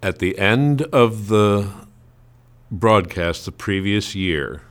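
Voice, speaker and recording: A man's voice: lowish voice, slow pace. A man being interviewed as a writer, telling anecdotes casually and acting out remarks he quotes. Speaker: amateur playwright being interviewed.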